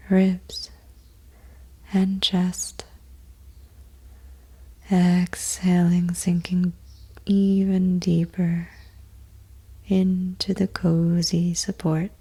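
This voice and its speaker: A woman guides a meditation in a low, husky voice that often falls to a breathy near-whisper with a creaky edge. Her delivery is extremely slow and gentle, leaving long pauses between short, soothing phrases. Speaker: yoga nidra meditation teacher.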